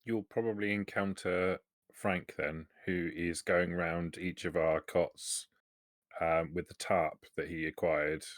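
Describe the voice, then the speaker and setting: A man's voice: deep voice, fairly even intonation, slow pace, dry recording, clean studio sound. A man playing a tabletop roleplaying game, casually describing what his character does.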